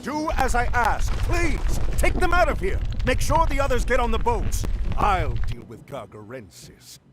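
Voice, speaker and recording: A man's voice: big pitch swings, unhurried, over music, exaggerated voice. A man playing a noble, commanding warrior leader in a video game cutscene. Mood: urgent. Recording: noisy low-quality game capture.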